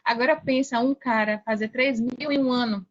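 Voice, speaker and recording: A woman's voice: a little high, fairly even intonation, moderate pace, dull audio. A woman with a Brazilian accent telling personal stories casually as a podcast guest, repeating key phrases for emphasis.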